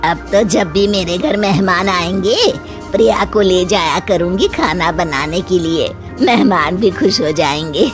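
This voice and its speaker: A woman's voice: on the low side, a little slow, over music, close to an everyday voice. A woman voicing a frank, teasing older woman in a cartoon, blunt and drawling. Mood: admiring. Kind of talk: Hindi cartoon story dialogue.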